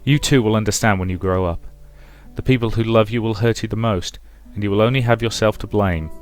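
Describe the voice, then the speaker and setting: A man's voice: fairly low pitch, soft background music, close to an everyday voice. Man voicing a menacing, domineering, bitter mother. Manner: commanding, deliberate, intense. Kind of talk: visual novel character voicing.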